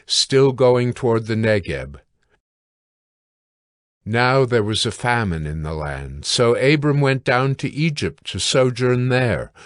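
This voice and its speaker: A man narrating scripture from a script in a measured, formal reading manner. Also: medium pitch, dry recording, clean studio sound.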